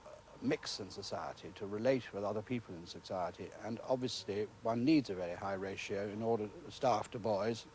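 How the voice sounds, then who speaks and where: A man with a British accent speaks as a school principal being interviewed. His voice is on the low side, and he explains things in formal, earnest, measured phrases. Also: darkish tone, slight background noise.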